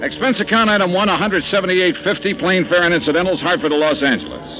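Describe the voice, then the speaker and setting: A man's voice: sits high, expressive. An actor playing a wry male insurance investigator who narrates his own case in a 1950s radio drama. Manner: brisk, wry first-person narration. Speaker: narrator and lead. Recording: dull vintage radio broadcast with music.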